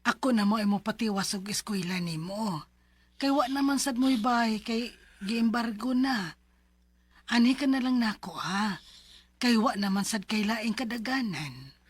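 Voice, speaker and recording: An actress with a Filipino Bisaya accent playing a bossy, scolding middle-aged aunt with a husky voice in a radio drama. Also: female voice, on the high side, measured pace, dry recording. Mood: firm. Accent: Filipino, Cebuano Bisaya. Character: harsh, scolding middle-aged aunt who begrudges her orphaned nephew.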